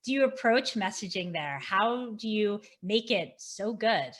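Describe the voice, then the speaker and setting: A woman's voice: medium pitch, animated, a little slow. Enthusiastic woman hosting an interview. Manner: enthusiastic, affirming. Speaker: podcast host interviewing a guest. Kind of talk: interview, casual professional chat. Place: small indoor office, video call. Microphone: desk microphone close in front.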